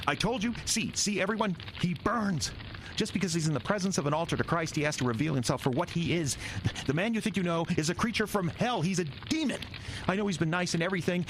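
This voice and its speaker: An actor playing an earnest, self-righteous young pastor with a scheming streak in an audio drama, speaking insistently. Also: male voice, on the high side, fast talker, slight background noise, lightly acted, rough recording. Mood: righteous, frantic.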